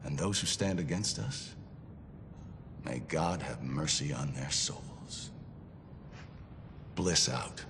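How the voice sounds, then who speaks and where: A man's voice: expressive, slow pace, vocal fry, pauses often, exaggerated voice. An actor playing a zealous, authoritarian militia commander giving a stern, grave, oratorical speech to his soldiers in a game. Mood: fierce resolve. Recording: long hall reverb, faint rumbling noise.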